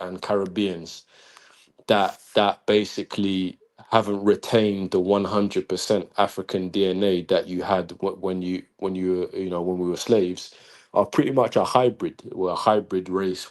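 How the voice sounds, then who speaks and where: Man, deep voice, assertive lecturing guest, steady flow. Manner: assertive, lecturing, flowing with few pauses. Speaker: guest caller. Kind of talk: casual online talk-show chat.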